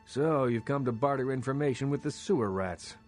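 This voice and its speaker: A man's voice: mid-range voice, fairly quick, soft background music, lightly acted. Imperious, courtly vampire baron played by a man. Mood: sardonic. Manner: formal, imperious, sardonic. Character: proud, courtly vampire baron scornful of rivals. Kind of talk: dramatic video game acting.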